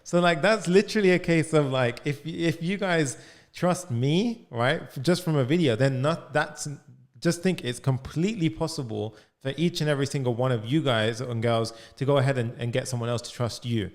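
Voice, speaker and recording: A young man leading a live coaching call from his home studio. He speaks close to a studio mic and talks with lively energy, filling his sentences with "like" and "right?". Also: medium pitch. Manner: energetic, fast, full of "like" and "right?". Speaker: coding coach. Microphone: studio mic on boom arm, headphones.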